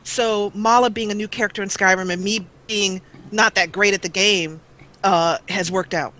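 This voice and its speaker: Woman guest, bright tone, animated and rambling, live call-in chat. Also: medium pitch, moderate pace. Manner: animated, laughs often, rambling restarts. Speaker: guest, let's player. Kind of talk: casual live interview call. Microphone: call-in line.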